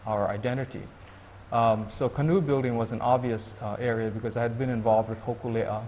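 A man from Hawaii, a guest in an interview, speaking thoughtfully and haltingly with many restarts. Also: mid-range voice, steady tone, darkish tone, slight background noise. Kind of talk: reflective interview talk.

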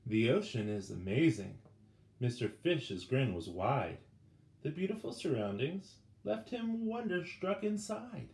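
A middle-aged man reading a children's picture book aloud with dramatic pauses, turning to the listeners with questions. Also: medium pitch, very animated, creaky, darkish tone. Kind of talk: expressive children's storybook read-aloud. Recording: roomy indoor sound with faint background noise.

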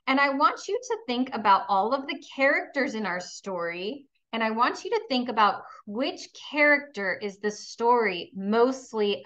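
Female kindergarten teacher, unhurried and bright, encouraging read-aloud delivery for little ones, slightly lo-fi sound. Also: fairly high pitch. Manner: encouraging, instructional, addressing young pupils with questions. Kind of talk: children's read-aloud lesson.

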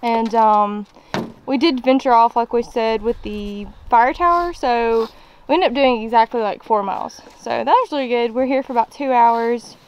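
A woman's voice: fairly high pitch, moderate pace, muffled recording, slight background noise. A young woman chatting casually while hiking, filming herself outdoors at arm's length. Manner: chatty, laughs often, talks to her dog. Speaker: vlogger. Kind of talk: casual hiking vlog chat. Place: outdoors on wooded mountain trails. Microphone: handheld camera at arm's length.

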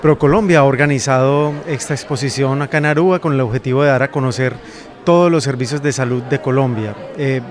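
A man's voice: medium pitch, a little fast. Man in his forties promoting a hospital. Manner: steady informative promotional. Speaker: clinic representative. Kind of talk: interview at an expo. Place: indoor expo hall. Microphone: handheld reporter mics in frame.

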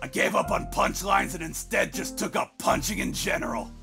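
A man playing a gruff, cantankerous old great-uncle and con man in a cartoon comic dub, blustering in a gravelly voice. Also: sits high, expressive, moderate pace, music in the background, heavily acted. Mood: wistful, aggrieved. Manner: blustering, loud.

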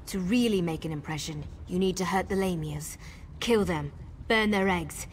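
An actress playing a cautious, determined female wood elf scout in video game dialogue, speaking in a measured, earnest way. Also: lowish voice, lightly acted. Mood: earnest, instructive. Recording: game dialogue over clear ambient noise.

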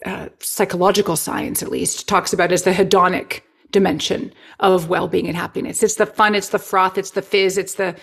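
A woman in her forties, a well-being researcher talking as a guest over a video call on a headset, explaining ideas in an animated, expressive way. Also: lowish voice, moderate pace, gravelly, few pauses, breathy edge. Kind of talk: enthusiastic conversational interview. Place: home living room.

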